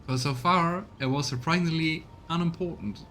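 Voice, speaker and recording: A man's voice: on the high side, normal speed, sharp tone, a little hiss or hum. A man casually commentating on a video game, musing aloud and chatting with his viewers as he plays. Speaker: streamer doing a voiced let's play.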